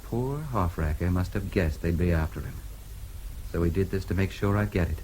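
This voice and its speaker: A man's voice: deep voice, normal speed, a little mellow, a little hiss or hum, close to an everyday voice, poor audio. An actor playing a cool, daring American newspaperman in a radio drama, with an American accent and a laconic, matter-of-fact way of talking. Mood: tense, urgent.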